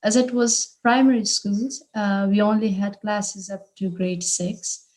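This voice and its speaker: A woman from Afghanistan speaks as a guest on an online panel, recounting her own story at an unhurried, steady rate. Her pitch stays mid-range and barely rises or falls, making the delivery sound level and composed. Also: low-quality recording. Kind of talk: personal testimony.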